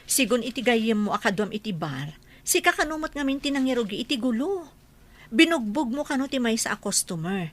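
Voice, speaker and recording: An actress playing an exasperated younger sister, a singer, in a radio drama. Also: female voice, fairly high pitch, big pitch swings, fairly quick. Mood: worried, scolding. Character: popular singer Adelfa, exasperated with her wayward older brother. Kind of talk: Ilocano radio drama acting.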